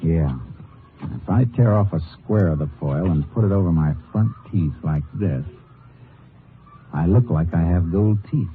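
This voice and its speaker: A man playing a steady, determined Texas Ranger lawman in an old-time radio western, terse and matter-of-fact. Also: sits low, a little slow, slight background noise. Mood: businesslike, steady. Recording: muffled vintage radio recording with music.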